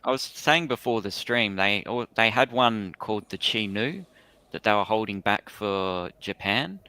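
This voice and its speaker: A man's voice: lowish voice, expressive, measured pace, pushed and bright, clean tone, no echo. A man talking casually as a panelist on a hobby livestream.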